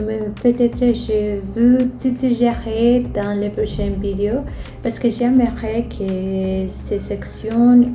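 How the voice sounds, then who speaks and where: Woman, Spanish accent, native Spanish speaker, very dark mellow tone, hesitant careful delivery, casual vlog storytelling, roomy sound, music underneath. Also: medium pitch. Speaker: vlogger, channel host. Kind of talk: casual personal storytelling to camera. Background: background music.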